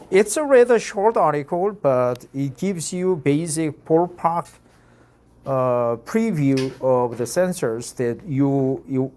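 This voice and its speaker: A man in his fifties from Korea, with a Korean accent, reading an article aloud and commenting, halting with many fillers and repeated "right?"s. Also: fairly high pitch, a little mellow. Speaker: YouTube reader of articles.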